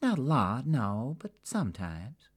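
A man's voice: medium pitch, wildly swooping pitch, measured pace, husky, voice pushed up, full character voice. Give me a man voicing a brash, nosy Texan woman. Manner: blunt, nosy, swearing. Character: brash loud-mouthed woman, Texan living in a big city.

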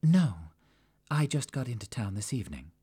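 A man voicing a timid young woman traveler who answers softly and evasively. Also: medium pitch, very animated, normal speed, husky, pitched above the performer's own voice. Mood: guarded. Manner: soft, guarded.

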